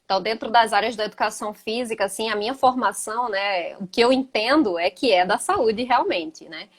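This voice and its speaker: A woman's voice: fairly high pitch, fairly quick. A woman in her thirties with a Gaúcho Portuguese accent, talking casually on a video call as a physical education teacher, tagging her sentences with "né". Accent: Gaúcho (southern Brazilian) Portuguese. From Northeast Brazil. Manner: conversational, many "né" tags. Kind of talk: casual live chat.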